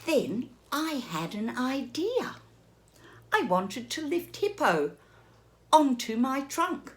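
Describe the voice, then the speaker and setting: An earnest male elephant, as a woman reads him aloud, telling his tale slowly and with drama. The voice rises and falls with animated emphasis, a gentle children's storybook figure. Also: sits high, full character voice. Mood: storytelling.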